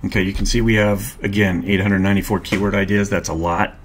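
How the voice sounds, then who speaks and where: A middle-aged man narrating a screen-share tutorial, calmly explaining each step and click as a marketing instructor. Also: fairly low pitch, fast, slight background noise. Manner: calm, explanatory, walks through clicks step by step.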